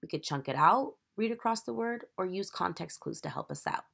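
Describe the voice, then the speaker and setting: A woman teacher reading a children's picture book aloud in an expressive, emphatic, instructive storytelling manner. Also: mid-range voice, a little fast, vocal fry.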